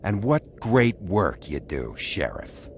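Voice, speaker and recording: A resentful, sarcastic grouch in a video game. His very low voice lurches up and down as he sneers, with faint ambience behind it. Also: big pitch swings, slow, background noise, full character voice. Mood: sarcastic, irate. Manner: belligerent, sneering. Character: surly, sarcastic, resentful man waiting in line. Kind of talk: video game cutscene acting.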